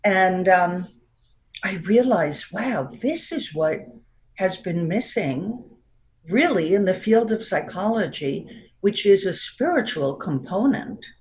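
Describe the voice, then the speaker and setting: A woman in her sixties with a New York accent, speaking as a therapist guest in a reflective, unhurried way with frequent light laughs. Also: mid-range voice, expressive, darkish tone, slight background noise. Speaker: marriage and family therapist guest. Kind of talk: reflective interview talk.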